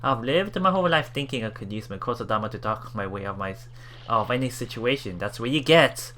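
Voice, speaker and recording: A man hosting a let's play, reading game text aloud and adding casual, halting commentary with repeated words and exclamations. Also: medium pitch, animated, pushed and bright, a little hiss or hum. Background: game music.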